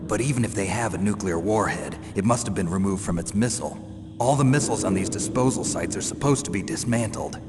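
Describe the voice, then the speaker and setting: A low, gravelly male actor's take on a stoic infiltration soldier from a video game. He speaks in terse, skeptical questions with a deadpan edge, amid long reverb and clear background noise. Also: fast, natural voice. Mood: terse questioning. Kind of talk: dramatic video game dialogue.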